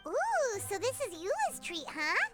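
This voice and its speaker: Shrill, bossy little game mascot, lively swings, third-person speech. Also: female voice, a little slow, heavily acted. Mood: surprised. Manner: talks about herself in third person. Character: tiny floating girlish companion, chatty and bossy. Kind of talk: video game dialogue. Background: loud game music.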